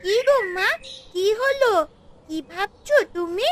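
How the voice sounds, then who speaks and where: A woman's voice: extremely high pitch, animated, unhurried, a little hiss or hum. A woman voicing an innocent little village girl with a plaintive, childlike manner in a fairy-tale cartoon. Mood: curious. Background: music.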